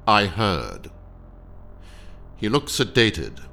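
An actor playing a cold, menacing corporate training engineer in an audio drama, speaking in a clinical, curt, commanding manner. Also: male voice, on the low side, huge pitch swings, very slow, creaky, bright, forceful tone, heavily acted. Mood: curt, impatient.

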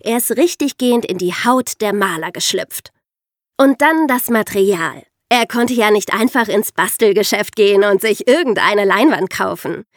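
A woman's voice: mid-range voice, animated, normal speed, no echo. Woman podcast host explaining stuff story style, asks rhetorical questions, really dry sound.

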